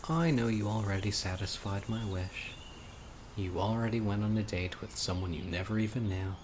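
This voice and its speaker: A man playing an obsessive, dying young witch in an audio roleplay, speaking to his beloved in a deliberate, intimate, pleading way. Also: lowish voice, a little mellow, a little hiss or hum, natural voice. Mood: calm, ominous. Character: obsessive, dying young male witch, devoted yandere lover. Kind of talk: audio roleplay, dramatic monologue to listener. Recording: outdoor nature ambience, crickets and birds. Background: insects, birdsong.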